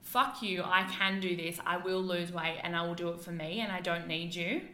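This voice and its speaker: A woman's voice: fairly low pitch, fairly even intonation, normal speed, sharp tone, roomy sound. A young woman from the UK with a mixed British and Australian accent, chatting openly as a podcast guest with frequent fillers and laughs. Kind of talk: casual interview chat.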